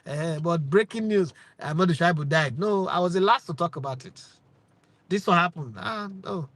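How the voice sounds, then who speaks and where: A man in his forties from Nigeria with a Nigerian accent, hosting his sports channel with lively rhetorical questions and bits of Pidgin. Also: fairly high pitch, normal speed, dry recording. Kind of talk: opinionated talk to camera.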